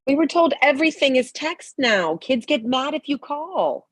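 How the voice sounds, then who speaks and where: A woman playing a prying mother with a husky voice in a comedy script table read. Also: sits high, animated, normal speed, fairly bright tone, no echo. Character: prying mother wanting grandkids.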